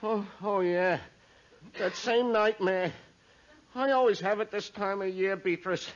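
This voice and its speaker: Whimpery frazzled salesman in old radio comedy, super high voice, muffled noisy recording. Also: a little slow. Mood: shaken, fretful. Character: frazzled department store salesman haunted by nightmares. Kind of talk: 1950s radio comedy acting.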